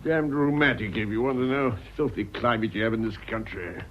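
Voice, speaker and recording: An actor playing a cantankerous elderly English lord in a radio period comedy, gruff, curt and irritable. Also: male voice, mid-range voice, brisk, a little hiss or hum, natural voice. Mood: rude, sour. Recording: slightly muffled radio drama recording.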